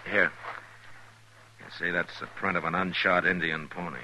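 An actor playing a weary, resolute US marshal in a 1950s radio western, with an American accent and terse, authoritative replies. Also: male voice, deep voice, fairly even intonation, normal speed, lightly acted. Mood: watchful, serious. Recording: old radio recording with faint noise.

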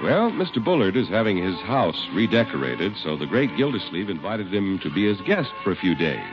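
A man's voice: low voice, big pitch swings, brisk, music in the background. A man announcing in 1950s radio style, reading scripted advertising and narration with emphatic salesman phrasing. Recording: muffled 1950s radio recording, faint noise.

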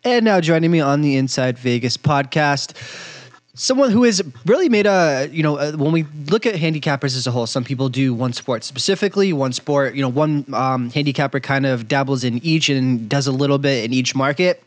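A man's voice: medium pitch, animated, a little fast, no echo. A man hosting a sports betting podcast, talking casually and effusively with lots of filler ums. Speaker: podcast host.

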